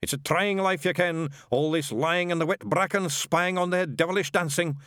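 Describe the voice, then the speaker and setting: A man doing a gruff old sergeant. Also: fairly high pitch, steady tone, normal speed, a bit bright, dry recording, clean studio sound, pitched above the performer's own voice, close to an everyday voice. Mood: wistful. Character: old male Scottish witchfinder sergeant, gruff and zealous.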